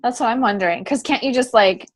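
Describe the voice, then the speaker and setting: A woman's voice: on the high side, fast talker. A woman in a casual planning meeting, agreeable and thinking aloud.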